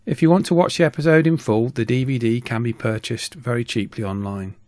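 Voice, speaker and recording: A middle-aged man narrates a hobby video in a medium-pitched voice. He reads from a script as the host, in a voice-over style. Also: fairly quick, a little mellow, no room sound. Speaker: YouTube host, radio hobbyist.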